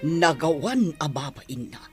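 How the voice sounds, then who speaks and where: An actress in a radio drama playing a concerned, disapproving middle-aged mother with a husky voice. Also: low-pitched, very animated, measured pace, music in the background. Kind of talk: Ilocano radio drama acting.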